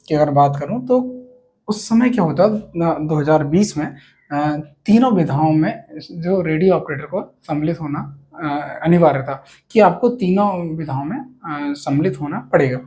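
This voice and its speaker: A man's voice: on the high side, a little mellow. A man giving an informal explainer to students, repeating key points emphatically and often checking that they follow. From India. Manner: repetitive, emphatic, with frequent check-in tags. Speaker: host of a police exam advice channel.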